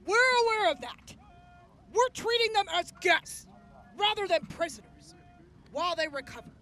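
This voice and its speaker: A woman acting in an audio drama as a composed, courteous officer, speaking formally and in measured phrases. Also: extremely high pitch, animated, a bit bright. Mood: measured, serious.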